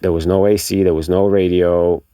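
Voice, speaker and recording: A middle-aged man from Bulgaria, a guest telling personal stories casually in an unhurried, halting, reflective way. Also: sits low, flat delivery, mellow, smooth, dry recording. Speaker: podcast guest, car enthusiast. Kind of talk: casual interview storytelling.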